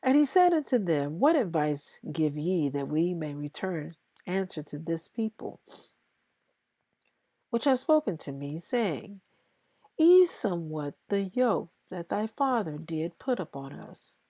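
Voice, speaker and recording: A woman reading scripture aloud in a deliberate, halting way, phrase by phrase. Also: fairly low pitch, very animated, warm tone, no room sound. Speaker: scripture reader.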